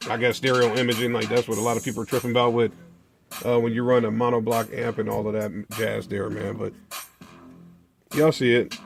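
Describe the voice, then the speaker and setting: An American man talks to his viewers like a relaxed product vlogger, in loose, slangy, colloquial phrasing. His voice sits at an average male pitch. Faint music plays behind him. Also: vocal fry. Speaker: car audio video host. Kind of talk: casual unboxing and product chat to viewers.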